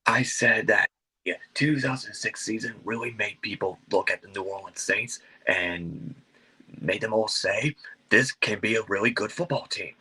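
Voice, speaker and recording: A man with a low, rough-edged voice gives casual answers as a football fan guest. His tone is forceful and bright, and he keeps things short and matter-of-fact. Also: moderate pace, rough recording. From Alabama, United States. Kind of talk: casual sports interview answers.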